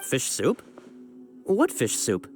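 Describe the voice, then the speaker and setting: A man's voice: medium pitch, wildly swooping pitch, takes it slowly, darkish tone, faint music behind. A man playing an eager, earnest young subordinate in a video game, emphatic and insistent but deferential to a superior. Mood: baffled, insistent.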